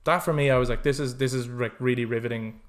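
Animated, sweary man chatting about opinions. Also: medium pitch, fast talker. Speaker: podcast co-host. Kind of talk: casual film discussion chat.

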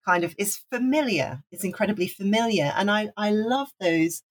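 A woman's voice: medium pitch, animated, normal speed, a little hiss or hum. A woman from Wales co-hosting a casual music podcast, talking enthusiastically and rambling with restarts. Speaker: podcast co-host.

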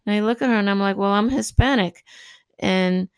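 An upbeat woman, a native Spanish speaker, rambles encouragingly through a casual podcast. Her voice is smooth and clear, and the middling pitch sits in a dry, close recording with no room sound. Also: a little fast, dry recording. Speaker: podcast host. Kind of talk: casual motivational monologue.

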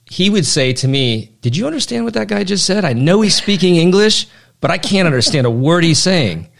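A man's voice: high voice, big pitch swings, a little fast, vocal fry, slight background noise. A man hosting a podcast, interviewing a guest in a friendly way with short affirmations. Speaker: podcast host.

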